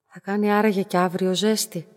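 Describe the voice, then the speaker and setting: An actress playing a young, lonely woman who narrates her own story in a radio drama, soft, restrained and matter-of-fact. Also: medium pitch, flat delivery, lightly acted. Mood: subdued, hesitant. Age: young adult. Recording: music behind the voice.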